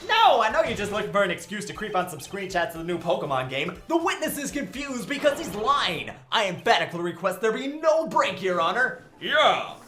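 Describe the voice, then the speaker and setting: A man's voice: high-pitched, big pitch swings, a little fast, bright, forceful tone, roomy sound, faint music behind, heavily acted. A man in an amateur game fandub playing a sarcastic, cocky young defense lawyer, theatrical and full of mocking wisecracks. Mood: mocking, insistent.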